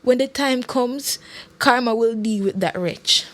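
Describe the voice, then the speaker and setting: A woman's voice: a little high, a little slow, fairly bright tone, pitched above the performer's own voice. A woman voicing a heartbroken, loving mother in a story, emotional and imploring. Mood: grieving, resolved. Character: heartbroken, loving mother pleading for her family.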